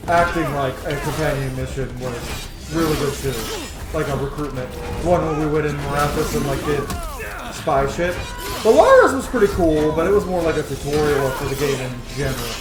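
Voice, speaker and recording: Casual male gamer, roomy, over loud music. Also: on the high side, steady tone, brisk. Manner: informal, sweary. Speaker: gaming streamer. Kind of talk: casual gameplay commentary. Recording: roomy sound under loud game music. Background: loud video game music.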